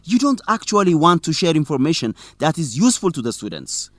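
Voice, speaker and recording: An actor playing a principled, stubborn young student journalist in an educational radio drama, speaking expressively and clearly. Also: male voice, on the high side, sing-song, brisk, no echo. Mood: firm, defiant.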